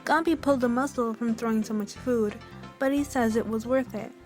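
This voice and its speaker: A woman's voice: on the high side, steady tone, fairly quick, a little mellow, faint music behind, natural voice. A woman acting a polite, matter-of-fact village doctor in a fan radio play.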